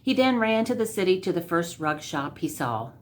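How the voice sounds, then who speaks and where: A woman's voice: on the low side, big pitch swings, moderate pace. A woman storyteller reading a scripted comic tale aloud.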